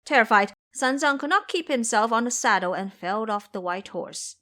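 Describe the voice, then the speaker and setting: A woman's voice: a little high, expressive, moderate pace, sharp tone. A woman narrating a scripted fantasy tale as a dramatic storyteller.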